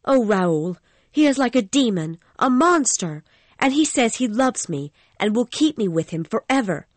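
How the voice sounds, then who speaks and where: Storybook figure: a scared young woman singer, anxious and pleading, put on by a male narrator. High voice, dry recording. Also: sing-song, normal speed, dry recording, exaggerated voice. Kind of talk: storybook reading.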